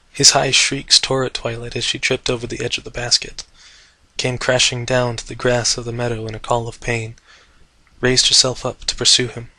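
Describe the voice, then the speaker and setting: Bright, forceful man narrating dramatically. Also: medium pitch, no echo. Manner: intense, theatrical delivery. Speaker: fan fiction narrator. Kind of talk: dramatic scripted story narration.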